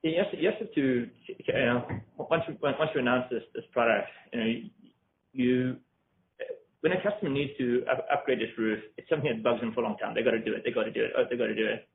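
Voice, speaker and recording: Male executive, creaky mid-pitched voice, repetitive stop-start phrasing, phone-quality audio with slight noise. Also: quick pace. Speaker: company executive. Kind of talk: unscripted talk on an earnings call. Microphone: conference call line. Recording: phone-like line, faint noise.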